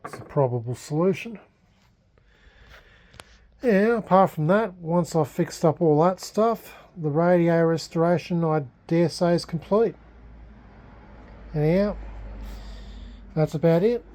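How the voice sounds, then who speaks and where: An Australian man giving a casual, unscripted hobby vlog update, speaking haltingly with frequent pauses. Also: fairly high pitch, dark tone. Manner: halting, hesitant, unscripted delivery with many pauses. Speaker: hobbyist vlogger. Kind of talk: casual restoration progress vlog.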